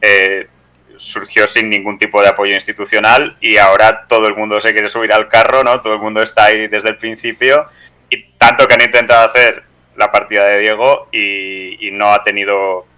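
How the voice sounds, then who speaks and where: A man with an accent from Spain, hosting a conversational interview in halting phrases, often ending on tag questions. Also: fairly low pitch, flat delivery, sharp tone, smooth, poor audio. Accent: Spanish from Spain. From Teruel, Spain. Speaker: podcast host, interviewer.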